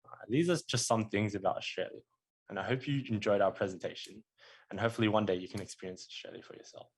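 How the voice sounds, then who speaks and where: Man, husky voice, lowish pitch, scripted student presentation, small slips. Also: quick pace, low-quality recording. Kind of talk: prepared presentation.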